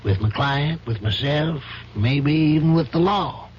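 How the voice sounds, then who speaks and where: Guy playing an old judge, calm and patient, old radio play sound, muffled with a bit of hiss. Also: fairly high pitch, lightly acted. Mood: reflective. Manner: measured, fatherly, gently probing. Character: calm older male judge, wise and patient. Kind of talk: 1970s radio drama acting. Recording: dull old radio broadcast, faint hiss.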